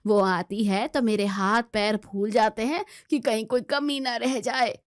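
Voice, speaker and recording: Woman acting a bossy, grumbling mother-in-law, high voice. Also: steady tone, measured pace, no echo, studio quality, natural voice. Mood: nagging. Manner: scolding, complaining. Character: bossy, grumbling middle-aged Indian mother-in-law, stubborn but secretly pleased.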